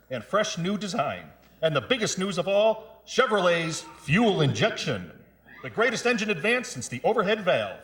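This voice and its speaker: High, lively 1950s radio DJ man. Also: reverberant. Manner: upbeat salesman-like announcer delivery. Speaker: radio disc jockey. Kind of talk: 1950s radio DJ patter and ad read.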